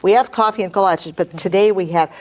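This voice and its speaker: A woman's voice: medium pitch, quick pace. A woman hosting a casual interview, announcing events with enthusiasm and asking her guest questions. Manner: enthusiastic, promotional. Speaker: museum president and host.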